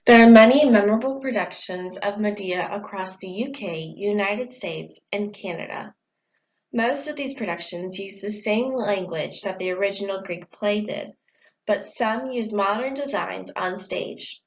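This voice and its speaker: A woman's voice: mid-range voice, unhurried. A young woman presenting a school report in a plain, read-aloud manner. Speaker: student presenter. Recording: dull lo-fi audio.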